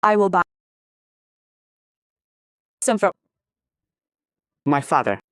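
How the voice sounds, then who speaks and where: A woman's voice: fairly low pitch, very animated, very slow, a bit bright, no echo. A woman, an interview guest telling personal stories and acting out quoted family dialogue as she goes. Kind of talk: personal storytelling.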